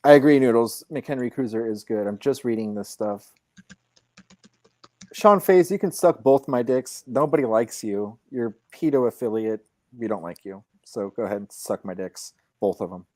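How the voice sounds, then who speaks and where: Brief: male livestream co-host, mid-pitched voice, dry close sound, loose chatty delivery. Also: normal speed, dry recording. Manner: reads viewer texts aloud flatly. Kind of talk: casual livestream chat.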